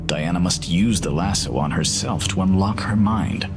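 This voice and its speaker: Very deep-voiced male dramatic narrator. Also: normal speed, background noise. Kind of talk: dramatic scripted story narration. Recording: loud music under narration. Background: loud music.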